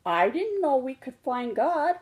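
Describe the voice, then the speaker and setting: Woman voicing a high-pitched, curious little bear cub. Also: very animated, a little slow, a little mellow. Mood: excited. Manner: wondering, childlike questioning. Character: curious, excitable young polar bear cub. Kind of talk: children's storybook read-aloud.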